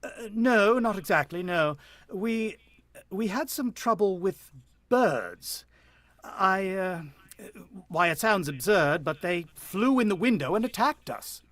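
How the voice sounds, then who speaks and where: A man's voice: sits high, expressive, slow pace, a bit bright, no room sound, exaggerated voice. A man acting in a radio drama as a shaken, earnest, protective father with a hesitant way of explaining himself. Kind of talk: radio drama acting and first-person narration.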